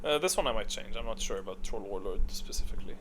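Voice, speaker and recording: A man's voice: on the low side, animated, fast, fairly bright tone, slight background noise. A man giving casual gaming tier-list commentary, thinking aloud in an offhand, hesitant way and second-guessing himself. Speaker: gaming video host.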